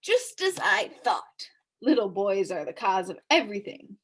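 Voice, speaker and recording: A woman's voice: mid-range voice, very animated, measured pace, fairly bright tone, breathy edge, heavily acted. A woman voicing a tiny, squat, fierce policeman in a storybook, blustering, officious and full of accusations. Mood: thundering.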